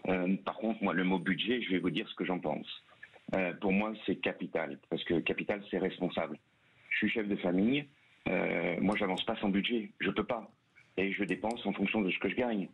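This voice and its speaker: A man phones in to a radio show with a low, husky voice. He gives his opinion earnestly, in short emphatic phrases. The line sounds dull and muffled. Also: moderate pace. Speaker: listener calling in to a radio show. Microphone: telephone. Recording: muffled, band-limited phone call.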